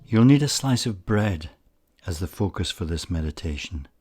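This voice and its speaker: A man leading a guided meditation in a calm, measured way with frequent pauses, his husky voice softening at the ends of phrases. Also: low-pitched, faint music behind. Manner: calm, measured, pausing often. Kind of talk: slow guided meditation narration.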